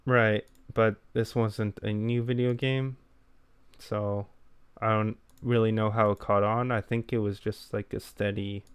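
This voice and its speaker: A man co-hosting a podcast, chatting casually in a low-key, self-effacing way. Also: little pitch movement, unhurried, clear, resonant tone, no echo. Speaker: podcast co-host who makes gaming videos.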